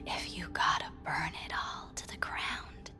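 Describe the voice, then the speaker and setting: An actress playing a calm, enigmatic woman counsellor in a video game, speaking in a breathy, soothing, measured way. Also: close to an everyday voice. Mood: hushed, intense. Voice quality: breathy, whispery. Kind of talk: cinematic video game acting. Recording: music playing behind the voice. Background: music.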